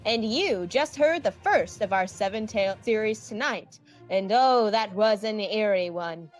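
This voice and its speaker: Casting: woman as a radio announcer, mid-pitched, scripted presenter delivery with music underneath. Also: lively intonation, measured pace, natural voice. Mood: presenting. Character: radio announcer hosting an anthology evening. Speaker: host.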